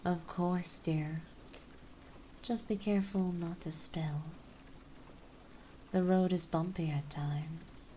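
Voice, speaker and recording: A woman playing a genteel, possessive vampire mistress in an ASMR roleplay, speaking softly, politely and soothingly. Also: on the low side, steady tone, slight background noise, low-quality recording. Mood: soothing, tender. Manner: soothing, softly spoken, polite, unhurried, doting. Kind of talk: ASMR roleplay acting, partly whispered.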